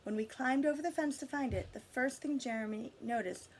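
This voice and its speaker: A woman reading a picture book aloud expressively to children, pausing to guide them with questions. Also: sits high, background noise. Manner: pauses to question and guide young listeners. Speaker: read-aloud storyteller for children.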